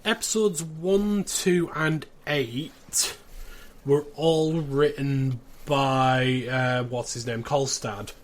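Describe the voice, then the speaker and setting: A man's voice: medium pitch, fairly even intonation, drawn-out words. A man in his thirties chatting casually and recapping as a podcast co-host.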